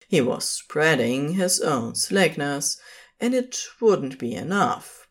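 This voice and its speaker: A narrator reading scripted fan fiction as intimate storytelling, with deliberate and expressive phrasing. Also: mid-range voice.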